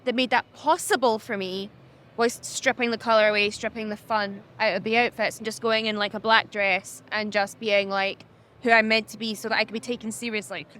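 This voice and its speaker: A young woman with a high-pitched voice, talking candidly as a podcast guest, animated and laughing often. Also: brisk. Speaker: podcast guest, social media business owner. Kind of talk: candid conversational interview.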